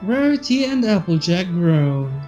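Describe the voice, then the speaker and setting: A woman's voice: fairly low pitch, very animated, unhurried. A young adult woman reading a story aloud as a narrator. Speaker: fanfiction reader and channel host. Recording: slightly dull audio, soft background music.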